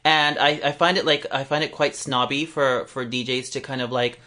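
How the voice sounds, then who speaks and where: A man's voice: mid-range voice, fairly even intonation, normal speed, bright, forceful tone. A man who DJs, chatting on a podcast in a rambling, filler-heavy way, swearing and laughing now and then. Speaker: DJ, podcast guest. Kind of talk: casual podcast chat. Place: London.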